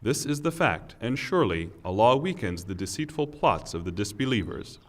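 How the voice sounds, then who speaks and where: A man giving a solemn, scripted reading of scripture in a measured, declamatory manner. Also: on the low side, big pitch swings. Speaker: reader of scripture translation.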